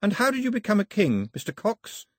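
A man's voice: high voice, wildly swooping pitch, a little fast, a bit bright, dry recording, clean studio sound, voice pushed up, full character voice. A man voicing a plucky, proper young girl, prim and forthright, in an audiobook story. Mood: defiant. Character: plucky, proper young English girl, sharp-tongued and questioning.

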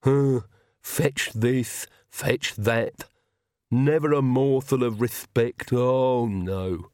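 A man voicing a grumbling old male servant who mutters slowly and pityingly to himself in a storybook reading. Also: mid-range voice, darkish tone, no room sound, clean studio sound.

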